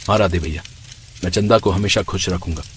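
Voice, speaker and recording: A man's voice: deep voice, a little fast, slight background noise, lightly acted. A man playing an earnest, reassuring husband in an acted story. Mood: earnest, pleading. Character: earnest-sounding deceitful husband, soft-pleading young man. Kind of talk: acted Hindi audio story dialogue.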